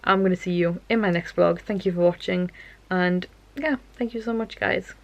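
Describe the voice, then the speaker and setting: A woman's voice: on the low side, fairly even intonation, normal speed, vocal fry. A young woman, tired and losing her voice, rambling chattily to camera at home at night. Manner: rambling, chatty, self-interrupting. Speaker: vlogger. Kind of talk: casual chatty monologue to camera.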